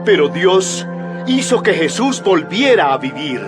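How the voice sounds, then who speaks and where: A fervent apostle in a dramatized audio Bible. His fairly high voice swings widely as he declaims boldly and emphatically to his listeners, with music playing clearly behind him. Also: big pitch swings, a little slow. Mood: earnest, firm. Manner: declamatory, emphatic public address. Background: background music.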